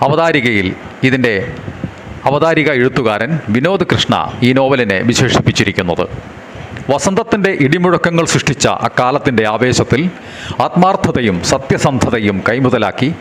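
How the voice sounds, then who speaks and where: A man reading a book review aloud in a measured, formal way, with faint background noise and the odd passing vehicle. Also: medium pitch, rough recording.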